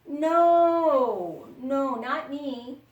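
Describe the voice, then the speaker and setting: A woman's voice: high-pitched, animated, extremely slow, muffled recording, heavily acted. A woman voicing brave, eager young sons in a children's folktale, in an emphatic storybook manner. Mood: modest, refusing. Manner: bold, emphatic calling out. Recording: roomy echo, distant microphone.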